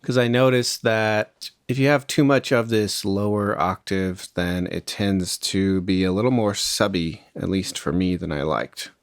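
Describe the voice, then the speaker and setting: A man's voice: sits low, measured pace, few pauses, smooth, no room sound, studio quality. A man presenting a music production tutorial in a casual, conversational, step-by-step way. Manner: informal, conversational instruction.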